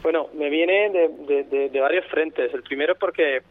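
Male author, Murcian accent softened by Andalusia, phone-line guest, restarts a lot. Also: fairly high pitch, moderate pace. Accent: mixed Murcian and Andalusian Spanish. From Lorca, Murcia, Spain. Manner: thoughtful, many restarts and repeated words. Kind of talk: radio interview over the phone.

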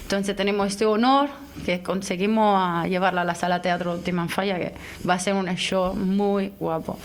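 A woman from Italy with an Italian accent, speaking eagerly and a little haltingly as a guest on a radio talk show. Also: mid-range voice, fairly even intonation. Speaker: theatre programmer, radio guest. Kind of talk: casual radio interview.

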